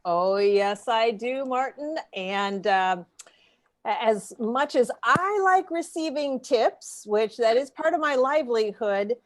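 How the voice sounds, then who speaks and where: A woman's voice: on the high side, animated, slow. A woman playing a coy, agreeable celebrity panelist in an improvised game show parody. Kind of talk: improvised comedy banter.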